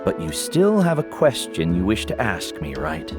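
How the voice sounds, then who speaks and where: A calm, wise old guide. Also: male voice, low-pitched. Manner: calm, measured and explaining. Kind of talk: video game voice acting. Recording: game audio with background music.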